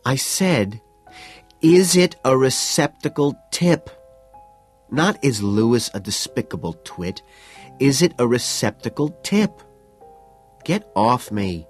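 A man's voice: mid-range voice, big pitch swings, measured pace, few pauses, husky, faint music behind, voice pushed up. A man voicing an irritable, exasperated young woman with gasping, shrieking indignation in an audiobook.

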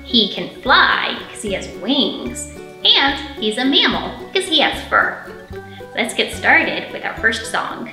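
Bright woman leading children's storytime, roomy sound. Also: on the high side. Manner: clear, simple, expressive phrasing with questions for small children. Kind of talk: storytime teaching, singing and picture-book reading for young children. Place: indoor library storytime room. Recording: roomy echo, faint music.

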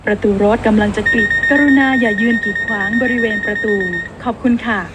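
Woman's door announcement under very loud noise. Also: mid-range voice, level tone. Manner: formal, measured. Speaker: train door-closing announcer. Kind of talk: scripted public transit announcement. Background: door alarm and train noise.

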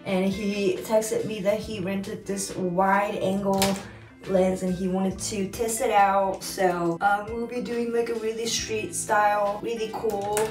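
A woman's voice: medium pitch, level tone, slow, darkish tone. Young woman from Japan, native Japanese speaker, casual vlog talk, "like" fillers, self-corrections, phone at arm's length, music playing behind. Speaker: vlogger and pro dancer. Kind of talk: casual vlog chat to camera. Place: bathroom, then parked car.

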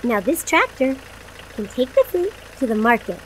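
A woman voicing a cheerful, kindly little girl in a children's cartoon, speaking simply and clearly to young viewers. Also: fairly high pitch, very animated, normal speed, a little hiss or hum, poor audio. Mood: friendly, instructive.

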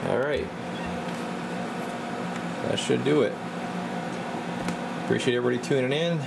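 A man's voice: mid-range voice, unhurried, vocal fry. A man casually narrating a hands-on radio demonstration, talking offhandedly to himself as he works. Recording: steady loud equipment and room hum.

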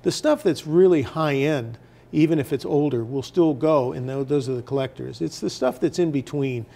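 Older man, mellow gravelly voice. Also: fairly high pitch, normal speed. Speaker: newspaper editor as interview guest. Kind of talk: casual studio interview. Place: TV news studio, indoors at a desk.

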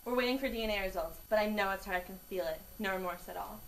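A woman's voice: medium pitch, quick pace, noisy background. A young woman delivering grave crime-show parody lines in a dorm room. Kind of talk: deadpan crime-drama parody.

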